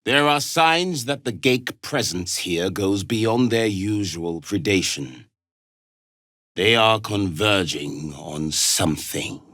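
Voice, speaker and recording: Mean alien combat instructor for a game, super scornful n bossy, hard edged voice, dry studio sound. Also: male voice, lowish voice, lively intonation, slow, fairly bright tone, no echo, exaggerated voice. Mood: cold, menacing. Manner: imperious, scornful, clipped commands. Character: harsh, contemptuous alien warrior instructor drilling young recruits. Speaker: combat trainer. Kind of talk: video game character acting.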